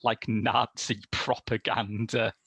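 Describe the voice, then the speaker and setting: A man's voice: fairly low pitch, little pitch movement, takes it slowly, creaky, a bit bright, breathy edge. A man in his thirties talking as a film podcast host, articulate and wry, in conversational review style on a video call. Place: small domestic room, indoors.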